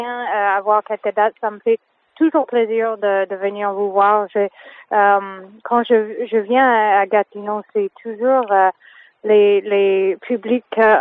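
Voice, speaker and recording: A woman's voice: mid-range voice, no room sound. A woman singer whose first language is English, speaking French in a radio interview, hesitant and full of fillers and restarts. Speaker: singer guest. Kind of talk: phone interview. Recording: tinny phone line.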